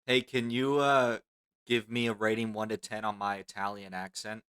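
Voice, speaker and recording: A man's voice: fairly low pitch, flat delivery, moderate pace, fairly bright tone, clear, resonant tone. A man streaming, bantering casually and teasing with mock indignation.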